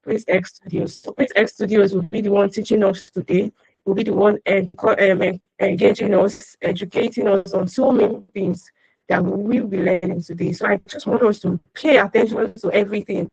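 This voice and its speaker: A woman's voice: medium pitch, fairly even intonation, a little fast, vocal fry, a little mellow, husky, dry recording. A woman hosting an online class over a video call, chatty and welcoming, greeting attendees by name. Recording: muffled dry video call audio.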